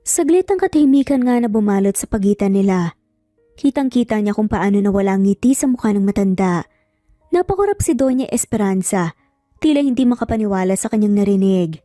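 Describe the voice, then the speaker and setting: A woman's voice: medium pitch, animated, fairly quick, mellow, no echo, clean studio sound. A woman narrating a scripted romance story in a dramatic storytelling style. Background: background music.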